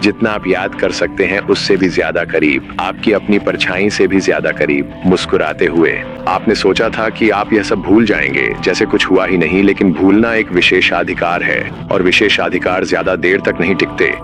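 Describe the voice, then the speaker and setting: Deep-voiced, ominous emergency broadcast announcer played by a man. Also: over music, close to an everyday voice. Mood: grave, ominous. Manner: grave, measured, menacing. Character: ominous male emergency broadcast announcer warning of uncanny teachers. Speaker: narrator. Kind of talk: scripted horror narration as an emergency broadcast.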